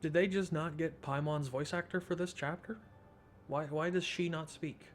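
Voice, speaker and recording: A man's voice: fairly high pitch, steady tone, moderate pace, soft background music. Man casually commenting over a video game. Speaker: streamer, gameplay host. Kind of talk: casual let's play commentary.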